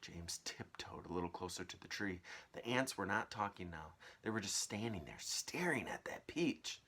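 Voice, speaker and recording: A man's voice: deep voice, very animated, moderate pace, pushed and bright, husky. A man speaking as a teacher, telling a children's story aloud with casual asides. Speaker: teacher reading aloud to pupils.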